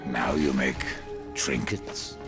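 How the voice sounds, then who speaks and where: Grim sardonic horseman, extremely deep, gravelly, terse, game music behind. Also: fairly even intonation, slow pace, exaggerated voice. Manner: dry, cutting, terse. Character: grim male horseman Death, cold and sardonic.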